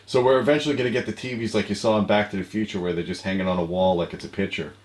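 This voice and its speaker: Deep-voiced, fast-talking American man. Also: steady tone. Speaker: tech show co-host. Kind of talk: casual chat. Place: small home office, indoors. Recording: roomy, echoey home-office sound.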